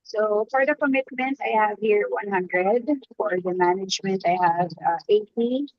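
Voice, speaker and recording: A woman on a video call, reading out her self-ratings in a plain, measured way. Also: mid-range voice. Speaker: workshop participant. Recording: dull, dry video-call audio.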